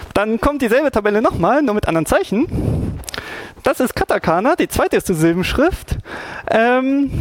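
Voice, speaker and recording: A young man casually explaining Japanese writing, rambling with lots of fillers and restarts. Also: high voice. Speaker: presenter explaining Japanese scripts.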